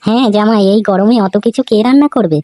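A woman's voice: mid-range voice, fast, dark tone, a little hiss or hum, natural voice. A woman voicing a practical, bossy middle-aged mother-in-law in a comedy cartoon, homely and insistent. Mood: insistent, self-justifying. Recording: music under dialogue.

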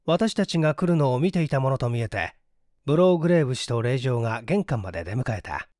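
A man's voice: mid-range voice, expressive, brisk, darkish tone, dry recording, clean studio sound. A man reading a mystery story as scripted narration, with a dramatic manner that acts out the dialogue. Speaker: audiobook reader, stage actor.

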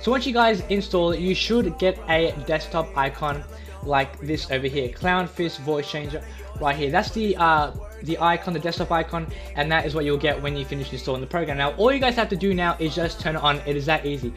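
A man's voice: a little high, fairly quick, fairly bright tone, soft background music. A young man hosting a casual tutorial in a chatty, eager, repetitive way. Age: young adult. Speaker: YouTube tutorial host.

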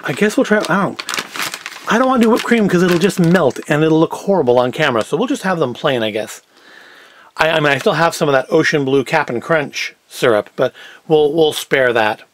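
Lively, high-pitched man reviewing casually. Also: a little fast, no echo. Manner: wry, self-deprecating asides. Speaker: host of a food review show. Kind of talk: casual unscripted product review. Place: indoor tabletop set. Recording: clear background noise, packaging crinkles. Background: clicks and crinkling packaging.

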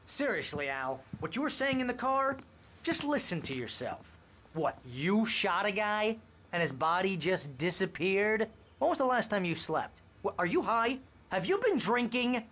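A man's voice: high voice, big pitch swings, measured pace, a bit bright, slight background noise, exaggerated voice. An actor playing a blustering, wisecracking male literary agent in a video game. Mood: exasperated. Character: brash fast-talking male literary agent. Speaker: agent character.

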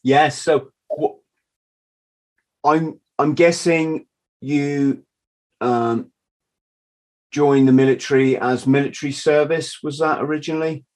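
A middle-aged male podcast host speaking over a video call from his home office. He talks in an unhurried, drawn-out way, chatty and self-deprecating, with an easy laugh. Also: a little high, steady tone, darkish tone. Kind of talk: casual interview chat. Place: home office with a wall map. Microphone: video call webcam.

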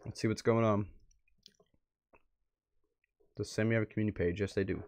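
A man's voice: lowish voice, fairly even intonation, very fast, a little mellow. A man hosting a casual livestream, chatting and muttering asides to himself as he goes through posts at his computer. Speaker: livestream host. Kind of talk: casual livestream commentary, reading posts aloud. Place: indoors at a computer.

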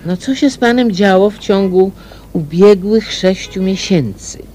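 A woman's voice: mid-range voice, a little slow, warm tone, a little hiss or hum, natural voice. A woman in a radio drama playing a kindly amateur sleuth, patiently coaxing and probing.

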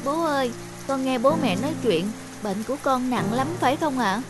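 High-pitched, gentle young boy. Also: lively intonation, normal speed. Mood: sad, earnest. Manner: polite, soft-hearted, earnest. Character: gentle, sickly young schoolboy, polite and compassionate. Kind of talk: cartoon dubbing. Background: background music.

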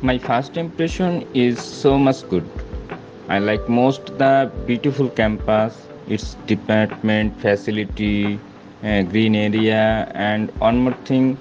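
A male student from Bangladesh explaining in hesitant English. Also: on the low side. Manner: hesitant, quickening in bursts. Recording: dull audio with light music.

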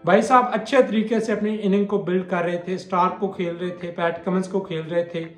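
A man's voice: high voice, steady tone, fairly quick. A young man gives a blunt, mocking cricket analysis straight to camera in a small bare room. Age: young adult. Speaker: cricket commentator vlogger. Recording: echoey room, faint music behind.

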